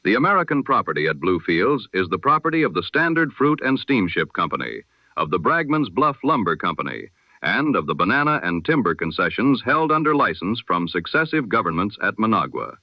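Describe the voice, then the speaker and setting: A man reading a history broadcast in the style of an old-time radio newsreader, measured, solemn and formal. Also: fairly low pitch, sing-song, no echo, dull audio.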